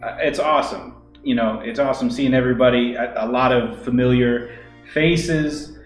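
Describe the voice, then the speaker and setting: A man's voice: mid-range voice, moderate pace. A man co-hosting an English-teaching livestream, chatting casually and rambling with many fillers and restarts. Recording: roomy echo with faint music.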